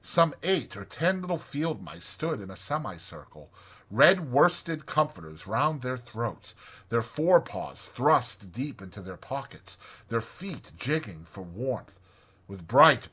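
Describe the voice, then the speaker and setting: A man narrating a classic storybook as a dramatized audiobook, in a measured, expressive manner. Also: medium pitch, breathy edge.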